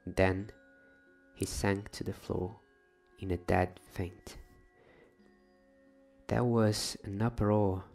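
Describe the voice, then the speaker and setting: A man reads a bedtime story in ASMR style, hushed and unhurried. His voice is lowish and gravelly, slipping toward whispers. Faint music sits behind him. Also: steady tone. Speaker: host reading a bedtime story. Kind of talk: soft-spoken ASMR storybook reading.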